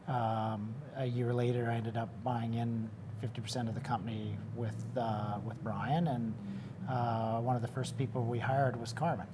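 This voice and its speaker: A Canadian-accented businessman from North Vancouver gives steady, matter-of-fact answers as a guest in a TV studio interview. His voice sits at a middle pitch. A faint ambient hum runs underneath.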